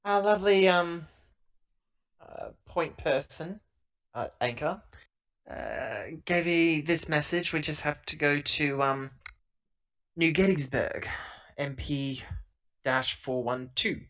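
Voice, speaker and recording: A man's voice: on the high side, takes it slowly, long pauses, a bit bright. Man playing an earnest spacefaring crewwoman, muffled lo-fi sound. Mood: uneasy briefing. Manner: hesitant, sighing, explanatory. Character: earnest, defensive female crew member of a spaceship. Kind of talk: improvised sci-fi roleplay. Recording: dull, lo-fi laptop audio.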